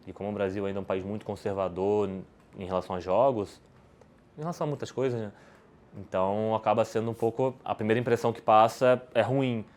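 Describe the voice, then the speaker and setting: A man's voice: lowish voice, steady tone, fast talker, a little mellow. A Brazilian man, a podcast guest, answering questions in casual talk, rambling with many restarts and tag questions. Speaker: podcast guest, poker player.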